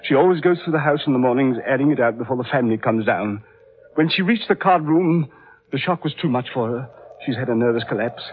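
An actor playing a distraught, horror-struck English gentleman, faltering in old-time radio drama style. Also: medium pitch, animated, fast, lightly acted. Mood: shaken, earnest. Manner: agitated, faltering, melodramatic. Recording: muffled vintage radio recording, faint noise.

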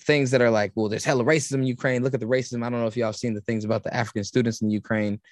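A man talking casually on a podcast, rambling and halting with many restarts. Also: mid-range voice, steady tone, dry recording, studio quality.

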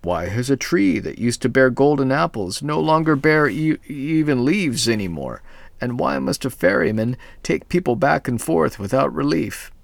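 A man's voice: mid-range voice, expressive, moderate pace, vocal fry, no room sound, voice pushed up. A man voicing an eager, earnest young man, speaking up in a raised, pleading voice.

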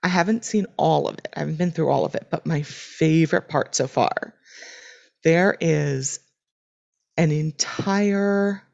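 A woman's voice: low voice, a little slow, gravelly, a little mellow, dull audio. A woman co-hosting a podcast in casual chat, with dry, self-deprecating humor and frequent restarts. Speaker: podcast co-host.

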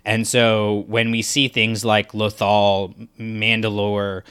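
A man's voice: on the low side, flat delivery, takes it slowly, a bit bright, smooth. A man co-hosting a podcast, talking in a loose, rambling way full of fillers. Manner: rambling, filler-heavy, enthusiastic. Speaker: podcast co-host. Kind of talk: casual discussion.

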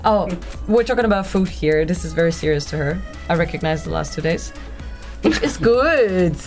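A woman chatting in an interview, playful and teasing, with a voice that leaps around in pitch. She laughs a lot, and faint music hums behind her. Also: animated, normal speed, a little mellow. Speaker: guest singer. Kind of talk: casual chat.

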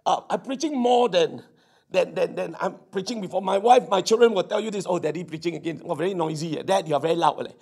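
A middle-aged man with a Singaporean English accent, preaching and praying fervently, his voice hoarse from preaching. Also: high voice, a little fast, a little mellow. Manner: fervent, repetitive, peppered with "Amen". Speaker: pastor. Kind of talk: sermon with prayer. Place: church service.

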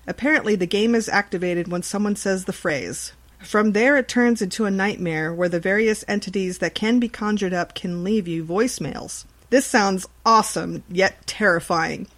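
A woman reading a scripted report as a podcast host. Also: medium pitch. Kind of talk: scripted news report narration.

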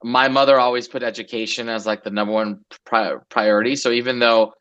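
A man in his forties from Los Angeles talks through his story as an interview guest, looping and relaxed with plenty of fillers. His voice is bright and forceful at a middling pitch. The recording is somewhat dull and lo-fi. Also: a little fast. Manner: relaxed, rambling, many fillers. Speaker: guest entrepreneur. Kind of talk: casual interview chat.

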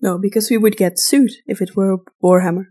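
A woman's voice: mid-range voice, fairly even intonation, fairly quick, mellow. Casual woman podcast co-host. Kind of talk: casual unscripted chat.

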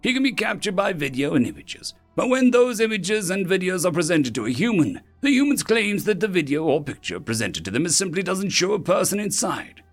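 Man acting an earnest alien commander, pitched up. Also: very animated, fairly quick, hardly any pauses, faint music behind. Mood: earnest, puzzled. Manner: hesitant, explanatory. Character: male alien commander, earnest soldier reporting findings.

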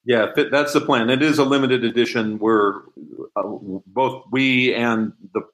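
A man's voice: mid-range voice, fairly even intonation, measured pace, a bit bright, poor audio. A man speaking as an interview guest, explaining things in a casual, conversational way. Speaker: interview guest, audio drama producer.

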